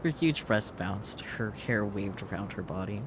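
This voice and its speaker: A man's voice: fairly low pitch, lively intonation, unhurried, background noise. A man streaming a visual novel, reading the game's text aloud as dramatic narration. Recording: loud music behind the voice.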